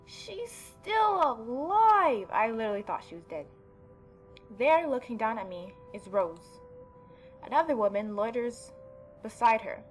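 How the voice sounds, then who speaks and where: A young woman with a mid-range voice hosts a casual gaming playthrough. She moves between reading aloud and informal, offhand commentary, with faint music behind her. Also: mid-range voice. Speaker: gaming YouTuber.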